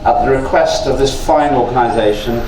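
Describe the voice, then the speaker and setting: A man's voice: mid-range voice, a little fast, darkish tone. A man raised in Northern Ireland whose first language is Estonian, giving a joking, self-deprecating conference talk and chatting with the audience. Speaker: guest speaker. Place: large conference room. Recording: echoey room, mic at a distance, noisy.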